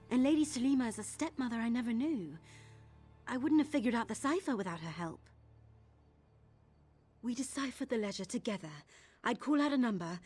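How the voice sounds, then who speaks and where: A woman playing an earnest young thief in a video game, with a heartfelt, expressive delivery. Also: a little high, husky. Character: earnest young woman thief seeking her late father's treasure. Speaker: game character. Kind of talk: dramatic game dialogue.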